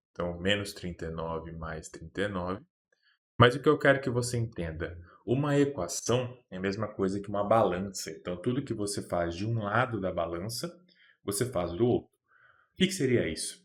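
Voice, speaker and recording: A man with a Brazilian Portuguese accent teaching a math lesson step by step, patiently checking that the viewer follows. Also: fairly low pitch. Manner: patient, keeps checking in with "beleza?".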